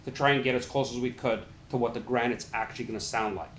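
A man's voice: medium pitch, steady tone, fast talker. A middle-aged man speaks as an interview guest in a conversational, explanatory way, with some emphasis. The room around him echoes, and there is noise behind his voice. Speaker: company founder, interview guest. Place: small indoor listening room, seated. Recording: roomy echo, clear background noise.

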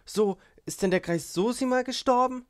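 A man plays an impulsive young woman in a storybook reading, confessional and capricious. Her speech is effusive and exclamatory, often lifted in pitch, with a close, dry sound. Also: a little slow, no echo. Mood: startled, pious. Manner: exclamatory, effusive, repeats herself.

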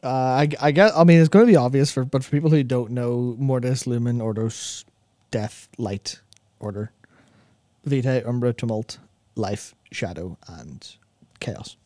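Male co-host, thirties, soft mellow tone, very dry close-mic sound, rambling casual explainer. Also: mid-range voice, a little slow, no room sound. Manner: rambling, self-correcting explanations. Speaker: co-host of a gaming discussion show. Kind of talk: casual analytical chat about game lore. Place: small indoor studio with acoustic foam. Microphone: close broadcast microphone on boom arm.

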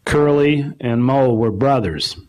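A man with a mid-pitched voice conducts a live interview. He asks his questions conversationally and somewhat haltingly, as if working from notes. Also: darkish tone, poor audio. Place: club meeting before an audience.

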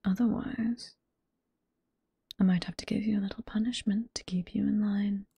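Breathy British woman acting a flirty librarian boss. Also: mid-range voice, steady tone, normal speed, dry recording. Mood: teasing, mock-stern. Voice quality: breathy, whispery, soft. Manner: playful, coy, teasing. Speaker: voice performer in roleplay. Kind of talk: ASMR roleplay, intimate whispering. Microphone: close microphone.

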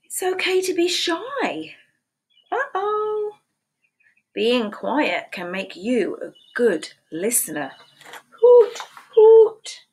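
A woman in her fifties reading picture books aloud to preschoolers in a slow, sing-song storytime voice, recorded on a webcam in a small room at home. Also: very high voice, wildly swooping pitch, darkish tone. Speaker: children's book reader. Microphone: webcam at desk.

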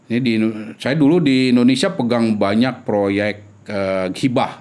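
A middle-aged man with a Sundanese accent, a guest epidemiologist speaking earnestly in a podcast interview, pausing with fillers and restarts. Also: medium pitch, a little mellow. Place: small studio with wood-slat wall. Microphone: desk mic on boom arm.

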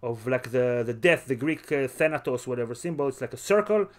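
A man hosting a podcast in casual chat, haltingly searching for words and repeating short phrases. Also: medium pitch, lively intonation. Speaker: podcast host.

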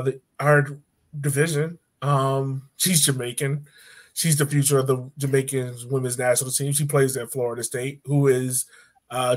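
A man in his thirties hosting a sports podcast from home in a casual, joking style. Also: medium pitch. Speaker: podcast host. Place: room at home, indoors.

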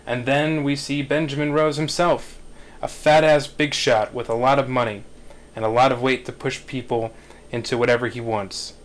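A man in his thirties narrating screenplay directions in a measured, halting way at a table read. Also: mid-range voice, steady tone.

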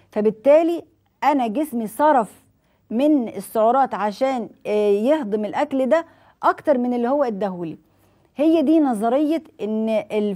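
A woman's voice: on the high side, warm tone. A woman in her forties with an Egyptian accent, a doctor explaining diet advice on a TV studio set, asking and answering her own questions. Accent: Egyptian Arabic. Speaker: nutrition doctor hosting a TV health show.

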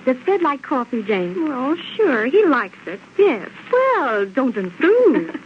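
An actress playing a chummy, bubbly housewife in a 1930s radio advertisement. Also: female voice, high-pitched, big pitch swings, takes it slowly, exaggerated voice. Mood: chirpy enthusiasm. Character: chirpy, chummy housewife in a sponsor playlet. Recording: dull, noisy old radio recording.

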